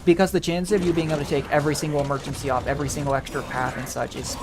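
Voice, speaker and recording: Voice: mid-range voice, flat delivery, racing, noisy background. A game streamer giving casual gameplay commentary, rambling and thinking aloud. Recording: game music behind the voice. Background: video game music.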